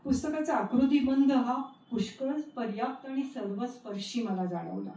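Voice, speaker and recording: A woman gives a formal literary speech in deliberate, articulate phrases. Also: a little high, mellow. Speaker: guest speaker at an award ceremony. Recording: roomy event recording, distant, faint noise.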